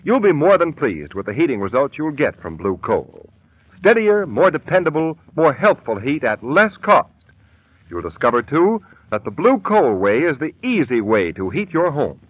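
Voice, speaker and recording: A man's voice: fairly high pitch, big pitch swings, normal speed, slightly rough, no echo. A man announcing in emphatic, polished 1930s radio style, reading scripted ads and narration. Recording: tinny, dry vintage radio broadcast.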